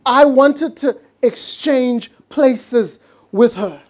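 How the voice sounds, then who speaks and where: A pastor preaching a sermon in earnest, drawing out key words slowly and dropping to a hush for emphasis. English is not his first language. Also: very high voice.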